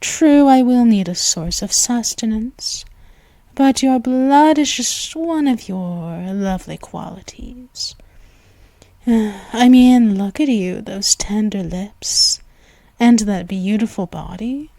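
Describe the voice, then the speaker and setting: A woman voicing a seductive, menacing female vampire, speaking slowly with a purring, teasing delivery and soft whispers, recorded on a close mic. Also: on the high side, creaky, dry recording. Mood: possessive. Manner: slow, teasing, threatening. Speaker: ASMR roleplay voice actress. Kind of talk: ASMR roleplay.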